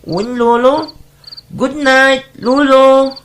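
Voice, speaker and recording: A woman's voice: sits high, fairly even intonation, extremely slow, a little hiss or hum. A woman voicing an innocent young grandchild in a family radio drama, respectful and full of plaintive questions. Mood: innocent, uncertain.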